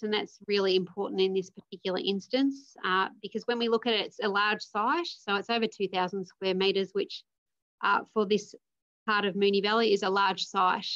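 A woman in her forties with an Australian accent, speaking as a councillor at a formal meeting, measured and careful as she reads out motion wording. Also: medium pitch, a bit bright. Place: home or office room on a video call. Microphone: video call. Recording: dull lo-fi video call audio.

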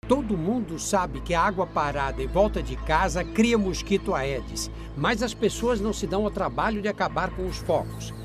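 Older man urging over loud music. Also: high-pitched, lively intonation, normal speed. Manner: urgent, admonishing. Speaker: public service ad presenter. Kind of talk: scripted public service ad. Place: outdoors on a city street.

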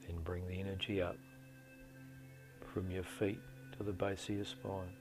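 A middle-aged man leading a guided meditation in a slow, deliberate, soothing delivery with long pauses. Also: low voice, little pitch movement. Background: soft meditation music.

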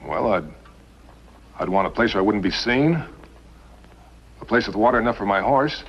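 A wary outlaw in an old western. His husky, lowish voice is hesitant and wry, with muffled vintage sound. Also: animated, moderate pace. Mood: pondering. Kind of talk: 1950s western TV acting.

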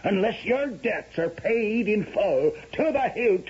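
An actor playing a merciless, haughty lord in a 1970s radio drama, husky and slowly menacing. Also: male voice, high-pitched, expressive, a little mellow, exaggerated voice. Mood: cold menace. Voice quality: husky, raspy. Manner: slow, menacing, pompous. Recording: muffled vintage radio broadcast.

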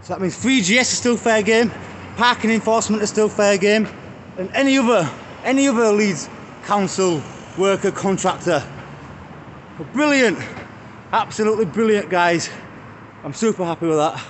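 British street vlogger in his thirties, high light voice, chatty and mocking, phone at arm's length outdoors. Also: normal speed, long pauses. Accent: British English. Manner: chatty, addresses viewers as guys, mocking jabs. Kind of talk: walking selfie vlog chat. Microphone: phone held at arm's length. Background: faint street traffic.

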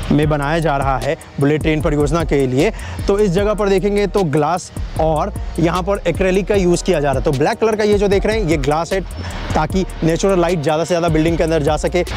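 A man giving a chatty walking tour outdoors beside railway tracks, pointing things out and talking to his viewers as friends. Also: a little high. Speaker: vlogger showing construction sites. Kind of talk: casual walking tour commentary.

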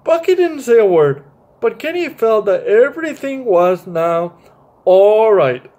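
Young man, children's read-aloud, unhurried, swooping intonation, stumbles and repeated words, informal. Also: high-pitched, sing-song, darkish tone. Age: young adult. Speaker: storyteller reading a picture book. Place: small bright room indoors.

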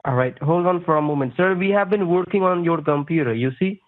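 A slightly high-pitched man comes through a tinny phone line, insistent and formal, repeating sir as he steers the call. The sound is thin and narrow. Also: fairly quick. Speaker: phone scammer.

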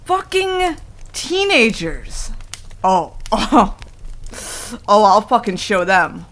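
Woman playing a slow-talking, flustered tsundere werewolf girl. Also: medium pitch, sing-song, a bit bright, a little hiss or hum, heavily acted. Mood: angry, mischievous. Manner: stammering, defensive, huffy. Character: flustered, stubborn tsundere female werewolf, young, secretly affectionate. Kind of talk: ASMR roleplay acting.

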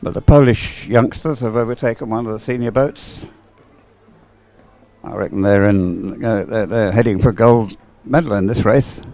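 A man giving unhurried, chatty live race commentary in an encouraging manner. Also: on the low side, mellow. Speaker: sports commentator.

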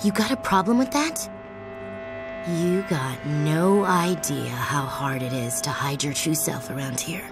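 A woman's voice: sits low, measured pace. An actress playing a bloodthirsty young samurai schoolgirl assassin, intense and profane, in a video game. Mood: taunting. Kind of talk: dramatic video game voice acting. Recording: long reverb, music behind.

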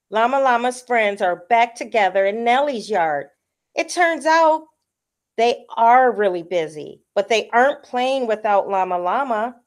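A woman reading a children's picture book aloud as a storyteller, with expressive delivery. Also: on the high side.

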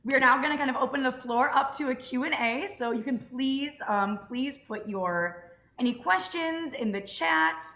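A woman's voice: high voice, normal speed, fairly bright tone. Young woman hosting, roomy echo. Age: young adult. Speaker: Q&A host, club writer. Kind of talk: informal event hosting. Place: indoors on a group video call. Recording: roomy echo on video call.

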